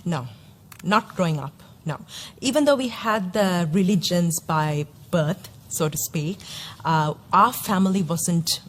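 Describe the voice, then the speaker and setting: A woman's voice: fairly low pitch, a little slow, a little hiss or hum. A woman from Sri Lanka giving a personal testimony in an interview, hesitant, with many fillers and restarts.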